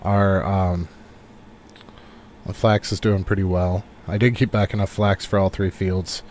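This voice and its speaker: A man giving relaxed, rambling live commentary on a game he is playing, thinking aloud as he goes, while recovering from a cold. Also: low voice, unhurried, a little hiss or hum. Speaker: game streamer. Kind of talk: casual live gameplay commentary.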